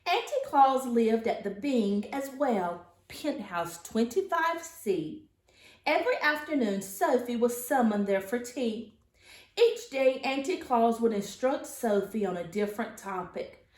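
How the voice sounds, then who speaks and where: A woman teacher reading a children's storybook aloud, with expressive, deliberate phrasing in an echoey room. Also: fairly high pitch.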